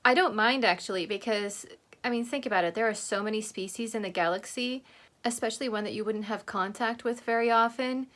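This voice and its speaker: High-voiced American woman reacting casually. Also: fairly quick, fairly bright tone. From Oregon, United States. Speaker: host of reaction video.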